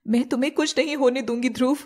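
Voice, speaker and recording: A woman voicing a fierce, devoted serpent woman, an elder sister, with dramatic, commanding delivery in an animated fairy tale. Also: sits high, fast. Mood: desperate.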